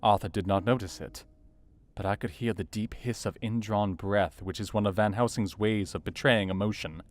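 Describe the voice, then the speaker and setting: A man playing a serious, anxious Victorian doctor, narrating his diary in a measured, formal literary manner for an audio drama. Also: fairly low pitch, fairly even intonation, close to an everyday voice. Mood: grave, anxious. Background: faint plucked guitar music.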